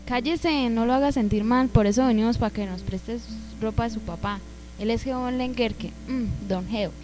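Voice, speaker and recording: A woman's voice: a little high, normal speed, a little mellow, soft background music, close to an everyday voice, poor audio. A Colombian woman playing a bossy, chatty young friend in an amateur radio drama, colloquial and explanatory. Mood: scolding.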